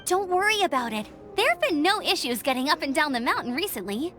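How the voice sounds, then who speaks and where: A perky young scout girl, friendly and energetic. Her video game voice is very high and lively, with faint music behind it. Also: fast talker, exaggerated voice.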